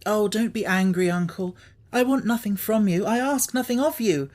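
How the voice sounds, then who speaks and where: A woman's voice: mid-range voice, normal speed, darkish tone, natural voice. A woman playing a cheerful, forgiving young nephew in a radio play, earnest and pleading in manner.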